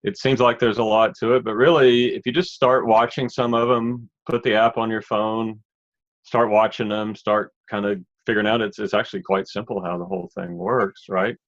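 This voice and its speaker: A male host interviews his guest in a relaxed, friendly manner and encourages her as they talk. His voice sits on the low side. The recording sounds dull and lo-fi. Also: moderate pace. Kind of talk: casual interview chat.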